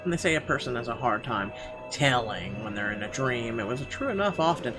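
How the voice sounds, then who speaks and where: A man's voice: medium pitch, expressive, brisk. A man hosting a gaming video, reading visual novel narration dramatically and breaking into irreverent, sweary asides. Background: game music.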